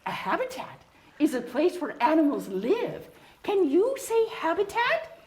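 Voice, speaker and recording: A woman voicing a genteel, kindly female beaver who hosts a tea party and teaches young viewers, with a fluttery, sing-song manner full of soft "oh"s and "well"s. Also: very high-pitched, wildly swooping pitch, unhurried, full character voice. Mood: teacherly. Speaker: puppet show hostess and teacher. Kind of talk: children's educational puppet show. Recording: echoey room with faint noise.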